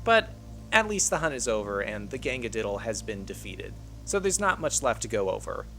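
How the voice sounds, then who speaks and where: A man with a mid-pitched voice delivers scripted video essay narration. He explains things as an online commentator would, with faint ambient noise behind his voice. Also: big pitch swings, normal speed, a bit bright, rough recording.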